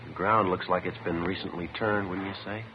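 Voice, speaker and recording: An actor playing a terse, methodical police detective in a 1950s radio drama, matter-of-fact and understated in both dialogue and narration. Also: male voice, low voice, steady tone, fairly quick, natural voice. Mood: quietly intent. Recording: noisy, dull vintage 1950s radio recording.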